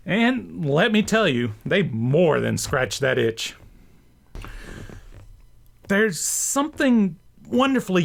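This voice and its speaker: Animated man delivering an enthusiastic scripted commentary. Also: on the high side. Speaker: host and writer. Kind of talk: scripted video essay narration, enthusiastic commentary.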